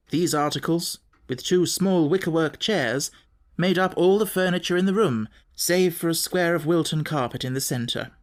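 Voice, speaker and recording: A man reading literary fiction as an audiobook narrator, measured and precise in his storytelling. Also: a little high.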